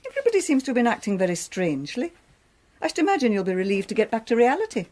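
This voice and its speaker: A woman playing a calm, gently wry character at home in a British radio drama, conversational and gently probing. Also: fairly high pitch, racing.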